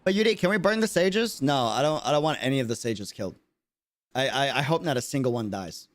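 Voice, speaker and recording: A man's voice: mid-range voice, animated, brisk, no room sound. Guy streaming, slangy and earnest, chatting casually over a game, dry mic, repeats stuff for emphasis. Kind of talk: casual livestream game commentary.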